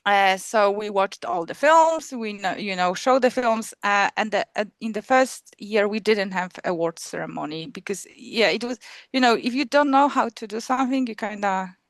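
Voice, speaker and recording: A woman with a Polish accent, talking as a guest about running a film festival, halting with many restarts and small laughs. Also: medium pitch, no echo. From Poland. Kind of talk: casual interview chat.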